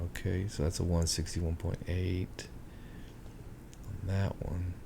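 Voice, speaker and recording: A man walking through market charts on a screen share, thinking aloud with many ums and a hoarse voice. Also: sits low, flat delivery, takes it slowly, noisy background. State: hoarse, clearing his throat. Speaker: market analyst.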